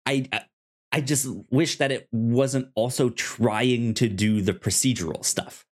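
A man co-hosting a casual TV review podcast, talking in a halting way with frequent stammers and restarted phrases. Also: mid-range voice, steady tone. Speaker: podcast co-host.

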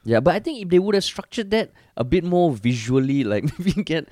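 A young man with a Singaporean accent, co-hosting a podcast with blunt, animated chat and casual swearing. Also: a little high, brisk, no room sound, clean studio sound. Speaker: podcast co-host.

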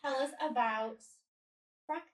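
A woman's voice: on the high side, normal speed. A woman co-hosting a podcast, reading an intro and welcoming a guest in an upbeat, enthusiastic way. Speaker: podcast co-host. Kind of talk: scripted intro and interview hosting.

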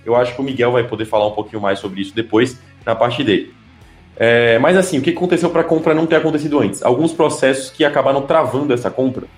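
Man, mid-pitch, halting podcast talker, roomy echo, faint music underneath. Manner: hesitant, frequent filler sounds and restarts. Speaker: podcast co-host. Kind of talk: casual chat.

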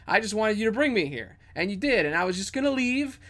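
A man's voice: high-pitched, very animated, fast, fairly bright tone, pitched above the performer's own voice. A man voicing an anxious, rambling, foul-mouthed female criminal in a tabletop game. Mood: flustered.

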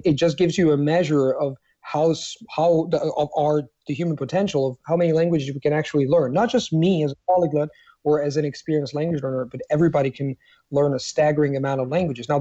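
A man's voice: on the high side, fast talker, dark tone, no echo, lo-fi sound. A man whose first language is Italian, answering interview questions in a thoughtful, hesitant way with many fillers and restarts. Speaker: guest, polyglot. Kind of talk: casual interview talk.

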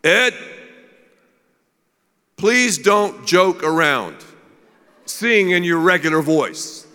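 A man voicing a stern woman second-grade music teacher who snaps sharp scolding outbursts. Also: high-pitched, big pitch swings, drawn-out words, clear, resonant tone, big-room sound, exaggerated voice.

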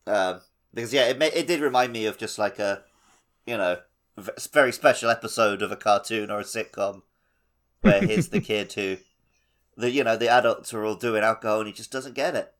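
A man's voice: lowish voice, animated, a little fast, a bit bright. A man co-hosting a casual gaming podcast, chatting in a wry, opinionated way. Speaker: podcast co-host.

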